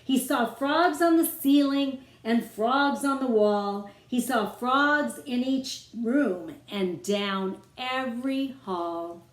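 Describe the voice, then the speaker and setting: A woman reading a rhyming children's story aloud as its author, with expressive, dramatic phrasing aimed at young listeners. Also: a little high, takes it slowly, few pauses. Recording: roomy, echoing room sound.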